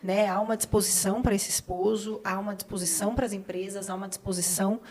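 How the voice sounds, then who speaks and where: A woman's voice: medium pitch, fairly even intonation, quick pace, darkish tone. A woman with a Brazilian Portuguese accent hosting a casual interview, talking conversationally and posing questions as she reasons. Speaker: podcast host.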